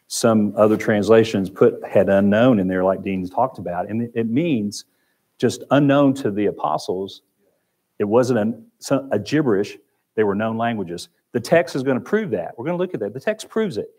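A man's voice: fairly low pitch, animated, normal speed, a little mellow. A man with an Oklahoma accent teaching a Sunday Bible class in a church room, talking conversationally and repeating phrases. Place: church classroom with projector screen.

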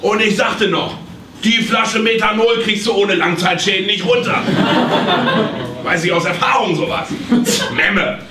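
A man's voice: sits high, steady tone, a little slow, loud music behind the voice. A man playing a deranged, muddled and boisterous doctor, an incompetent psychotherapist in a live comedy radio play. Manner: loud, muddled, exclamatory. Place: small theatre stage. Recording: distant camera in echoey theatre.

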